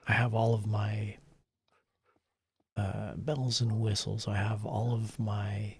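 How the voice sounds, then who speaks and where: A man streamer chatting casually late at night in a calm, soft-spoken way, chuckling and sometimes dropping to a whisper. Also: lowish voice, vocal fry, slight background noise. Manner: relaxed, chuckling, drifting into whispers.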